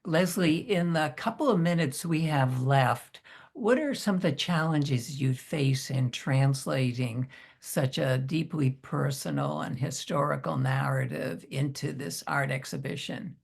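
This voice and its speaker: A woman's voice: sits low, unhurried, creaky. A woman hosting an interview, asking questions and giving brief affirmations. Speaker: host. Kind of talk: interview host.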